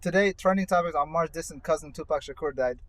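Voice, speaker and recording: A man's voice: quick pace, a bit bright. A man chatting casually and explaining things in a hesitant, rambling way. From born in India, at school in the United States. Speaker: co-host of a ride-along conversation show. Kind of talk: casual chat. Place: inside a car on the road. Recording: very noisy moving car interior, road rumble. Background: car and outdoor traffic noise.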